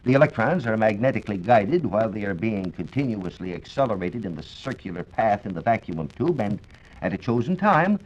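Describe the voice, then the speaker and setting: A man's voice: lowish voice, big pitch swings, fairly quick, darkish tone. An American man giving a formal, scripted explanation as a science reporter on 1940s radio. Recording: dull, lo-fi 1940s radio recording.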